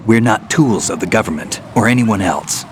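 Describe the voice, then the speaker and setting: Game veteran soldier played by a male actor: very low, gruff, earnest idealist, noisy backdrop. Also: lively intonation, moderate pace, close to an everyday voice. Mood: reflective. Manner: terse, earnest. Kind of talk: dramatic video game acting. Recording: clear background noise.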